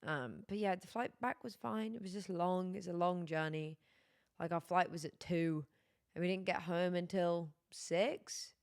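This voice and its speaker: A tired young woman streaming, chatting casually with her chat, her voice going hoarse. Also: fairly low pitch, steady tone, measured pace. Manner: rambling, chatty, many fillers.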